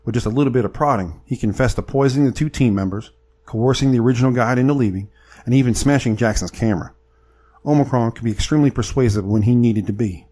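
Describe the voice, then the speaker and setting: An actor playing a plainspoken, loyal adventurer in a first-person audio drama, with some ambience behind him. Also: male voice, medium pitch, quick pace, mellow, natural voice. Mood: reflective. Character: plainspoken, loyal male adventurer recounting his tale.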